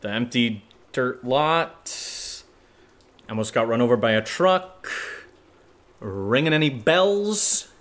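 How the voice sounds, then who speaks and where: A man's voice: mid-range voice, lively intonation, slow, fairly bright tone. An actor playing a nervous young man who rambles and stammers through a spooky story in a horror audio drama. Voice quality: hesitant, stammering, rambling with many fillers.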